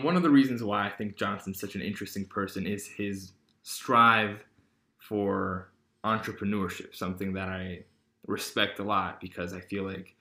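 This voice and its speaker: A man's voice: on the low side, sharp tone, roomy sound. A teenage boy hosting a casual podcast, talking in a rambling way with lots of fillers and restarts. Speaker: podcast host.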